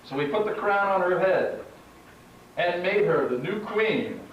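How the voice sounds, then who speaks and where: A man's voice: high-pitched, lively intonation, unhurried, muffled recording. A man hosting and narrating a live puppet show as a storyteller. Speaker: host and narrator. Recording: very echoey hall, distant mic, clear noise.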